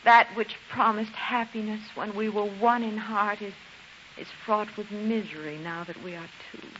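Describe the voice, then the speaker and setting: A woman's voice: mid-range voice, steady tone, moderate pace, a bit bright, lightly acted. A woman playing a sorrowful, resolute young maiden in a 1940s radio drama, earnest and mournful. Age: young adult. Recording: dull hissy 1940s radio recording.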